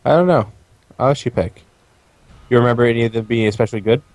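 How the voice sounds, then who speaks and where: A man's voice: medium pitch, quick pace, darkish tone, no echo. A man co-hosting a gaming video with dry, sarcastic, casual banter. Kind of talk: casual banter over gameplay.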